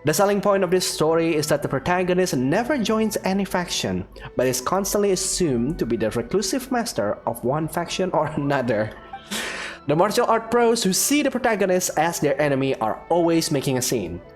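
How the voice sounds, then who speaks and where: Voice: mid-range voice, big pitch swings, a little fast. A game streamer reading game text aloud expressively, with casual commentary in between. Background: faint music.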